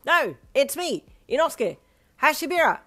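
A woman voicing a brash, blunt, fearless wild young man in a story reading, with a loud, insensitive manner. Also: a little high, expressive, quick pace, voice pushed up, lightly acted. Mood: loud, announcing.

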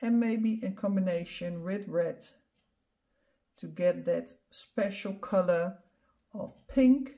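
A middle-aged Dutch woman talks through her painting in English, her second language. She speaks unhurriedly, with a mellow, soft tone, explaining each step calmly as she demonstrates. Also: mid-range voice, slow. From Netherlands. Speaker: art instructor. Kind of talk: painting tutorial voice-over.

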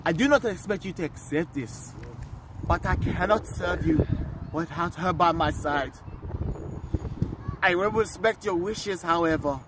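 A man playing a proud, earnest African royal heir in a dramatic monologue, addressing his people in a solemn, deliberate, oratorical way. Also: high voice, noisy background. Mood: grave, resolute. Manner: slow, deliberate, solemn delivery with weighted pauses.

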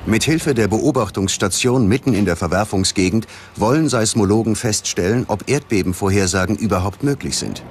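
A man's voice: on the low side, brisk, few pauses, slight background noise. A man narrating a documentary from a script in a grave, dramatic manner. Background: background music.

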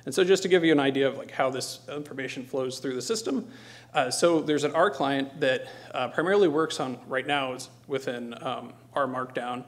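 A middle-aged man presenting technical material as a conference speaker, hesitant, with frequent ums and pauses. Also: medium pitch. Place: lecture hall stage.

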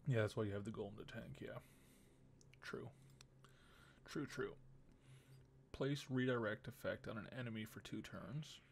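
Low, gravelly male game streamer. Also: measured pace, long pauses, a little hiss or hum. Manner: musing, thinking aloud. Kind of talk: casual gameplay commentary.

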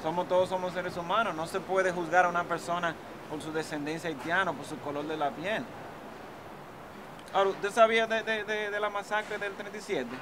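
A rapid, fairly high-voiced young son, played by a man, pushes back hard at his mother and stumbles over repeated words. He is outdoors on front steps with clear street traffic behind him. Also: flat delivery, lightly acted. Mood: pleading. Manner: urgent, insistent, repeats words when upset.

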